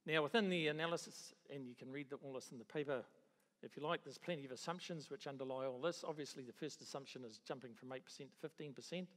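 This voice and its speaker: A middle-aged man with a New Zealand accent giving a conference talk on a handheld mic, halting, with many restarts and dry understatement. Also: mid-range voice, a bit bright. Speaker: agricultural economist presenting at a conference. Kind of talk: conference presentation. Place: large conference hall. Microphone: handheld microphone. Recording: reverberant conference hall.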